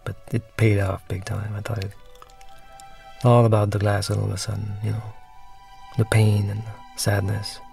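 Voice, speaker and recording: Low, gravelly man giving film commentary. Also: little pitch movement, normal speed, darkish tone. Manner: reflective, searching for words. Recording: music behind the voice.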